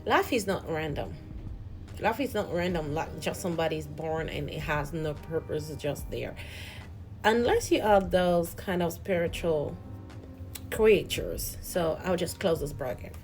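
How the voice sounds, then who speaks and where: Female host, lower register, earnest reflective motivational talk over soft background music. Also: expressive, unhurried, low-quality recording. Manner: earnest, reflective, repeats and restarts words.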